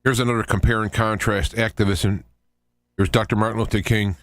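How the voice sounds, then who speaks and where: Low-voiced man rambling as radio host. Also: level tone, a bit bright, no room sound. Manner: halting, rambling, conversational asides. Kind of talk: informal political commentary, monologue.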